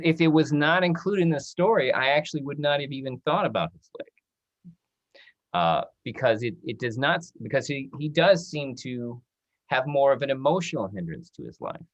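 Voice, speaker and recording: A man moderating a panel discussion, speaking in hesitant, halting phrases. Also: medium pitch, sing-song, dull audio. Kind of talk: hosting a talk-back discussion. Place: virtual video call.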